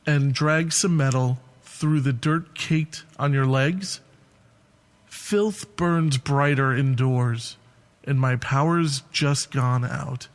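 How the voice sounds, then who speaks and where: A man reads poetic prose aloud at a slow pace. His voice sits a little higher than most men's. The delivery is that of a literary reading. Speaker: reader of a literary piece.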